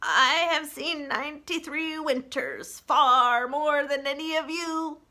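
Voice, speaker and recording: Woman doing an ancient old turtle guy, solemn storybook elder, drags every word out real slow, loud n strained. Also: high voice, lively intonation, voice pushed up, full character voice. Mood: grave. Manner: slow, weighty, drawn-out delivery. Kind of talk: children's storybook character voice.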